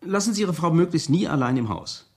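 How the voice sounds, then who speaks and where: An actor playing a courteous, cautious police inspector in a radio crime drama. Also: male voice, on the high side, expressive, a little fast, darkish tone, slightly rough. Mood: grave, cautioning.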